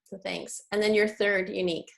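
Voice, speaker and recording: A woman's voice: medium pitch, steady tone, normal speed, gravelly. A woman hosting an interview show, speaking in an upbeat and encouraging way. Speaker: host. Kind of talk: conversational interview.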